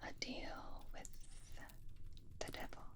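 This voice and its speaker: A woman voicing a flirty, gossiping vampire in an ASMR roleplay, whispering softly and confidingly with hesitant pauses. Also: natural voice. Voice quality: soft breathy whisper.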